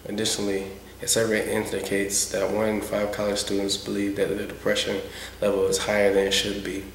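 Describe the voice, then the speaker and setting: Flat-toned male narrator, echoey room. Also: fairly low pitch, fairly quick. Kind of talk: scripted educational narration. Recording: echoey room, faint noise.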